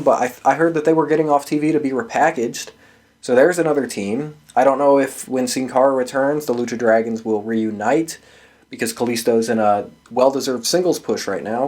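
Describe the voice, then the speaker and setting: A man's voice: a little high, a little mellow. A man hosting a podcast, giving casual, opinionated solo commentary in a blunt, exasperated way with frequent swearing. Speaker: wrestling podcast host giving predictions.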